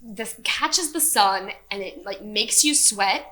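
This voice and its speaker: A woman's voice: medium pitch, lively intonation, takes it slowly, pushed and bright. A young woman in casual podcast chat, telling stories with frequent laughs and plenty of swearing. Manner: laughing, profane storyteller.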